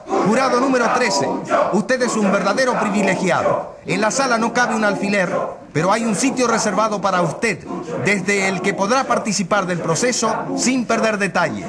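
An actor playing an excited courtroom announcer, a man loudly proclaiming to the listener as juror in a radio drama. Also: high voice, normal speed, natural voice. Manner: loud, proclaiming. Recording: loud crowd din, distant voice.

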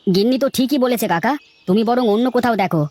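A woman's voice: a little high, fast, darkish tone, lightly acted. A woman voicing a kindly, principled male banana farmer in a village cartoon, speaking earnestly. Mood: firm, polite. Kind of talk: cartoon dialogue acting.

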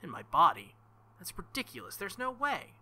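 Man doing a bright, hesitant teenage boy hero. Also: sits high, huge pitch swings, normal speed, no echo, voice pushed up, heavily acted. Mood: baffled.

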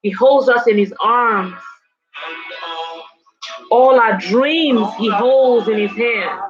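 A woman leading a congregation in prayer and worship, with slow, fervent, drawn-out phrases that slip into singing. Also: a little high. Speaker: worship leader. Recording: dull lo-fi recording with soft music behind.

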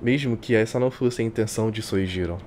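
A man with a Brazilian accent reading a visual novel aloud like a streamer, expressive and colloquial. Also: fairly low pitch, fast talker, noisy background. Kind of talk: dramatic live reading with casual asides. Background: game music.

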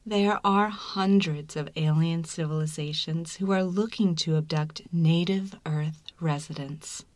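A woman narrating a scripted ASMR advert in a soft, breathy, deliberate whisper. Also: sits low, fairly even intonation, dry recording. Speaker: promo narrator.

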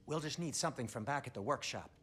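A fretful, prissy dwarf craftsman from a video game, acted by a man. He chatters eagerly in a bright voice that swings up and down, with faint ambience behind. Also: medium pitch, lively intonation, fast talker, slight background noise, close to an everyday voice. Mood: helpful.

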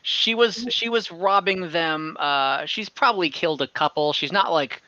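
A man's voice: fairly high pitch, moderate pace, sharp tone, no echo, dull audio. A man narrating a tabletop game as game master on a video call, easygoing and often correcting himself. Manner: laid-back, self-correcting narration.

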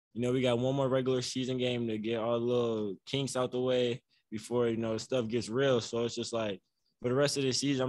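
A young man, a college basketball player, answering reporters at a postgame press conference in an easygoing, soft-spoken way with many fillers, into a table mic. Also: mid-range voice, no echo, low-quality recording. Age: young adult. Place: press room before a banner. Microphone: table mic on a stand.

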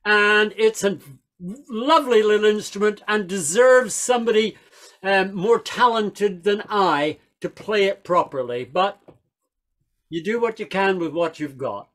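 A man's voice: high voice, a little slow, fairly bright tone. An older man from Northern Ireland, raised in England, giving a wry, chatty storytelling talk over a video call from a small room at home with a headset mic. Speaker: folk singer and speaker.

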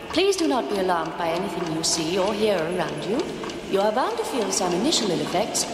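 An actress playing a calm, official starship announcer, measured and politely reassuring, over an echoing public-address effect. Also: female voice, mid-range voice. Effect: reverberant public-address echo. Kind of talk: comic science-fiction radio drama announcement. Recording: long echo, noisy ambience behind.

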